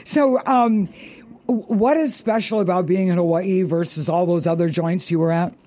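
A man hosting a radio talk show, bubbly and quick to laugh, stretching words for emphasis. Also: sits high, dark tone, dry recording. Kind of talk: upbeat radio interview.

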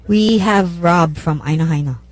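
A woman's voice: on the low side, moderate pace, a little mellow. A woman on a radio show introducing callers in a brief, easygoing way. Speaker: radio call screener.

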